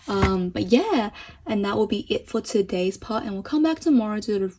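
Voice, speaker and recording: A woman vlogger chatting casually through a makeup review, enthusiastic and full of fillers, her voice getting hoarse. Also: mid-range voice, expressive, normal speed, a little mellow. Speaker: beauty vlogger.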